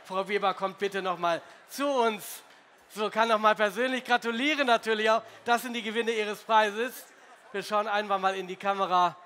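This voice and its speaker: A male host moderating an awards gala, speaking brightly and announcing winners on stage in a large hall. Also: high-pitched, fairly even intonation, normal speed, slight background noise. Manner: upbeat, announcing. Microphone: handheld microphone.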